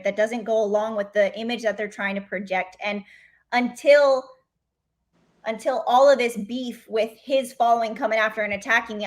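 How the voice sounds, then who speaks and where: A pregnant woman gives her candid account as a podcast guest. Her voice sits fairly high, and her manner is earnest and insistent, full of restarts and repeated phrases. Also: fairly bright tone. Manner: earnest, emphatic, many restarts.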